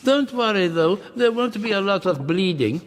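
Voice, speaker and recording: An actor in a radio drama playing a cold, courteous, sadistic older torturer, speaking in measured, pedantic phrases with menacing pauses. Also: male voice, high voice, expressive. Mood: clinical cruelty.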